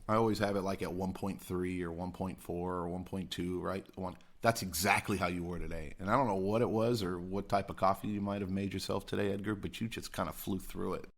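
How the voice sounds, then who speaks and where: A man co-hosting a podcast who teases his co-hosts bluntly in casual banter. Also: low voice. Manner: blunt teasing ribber. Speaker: podcast co-host.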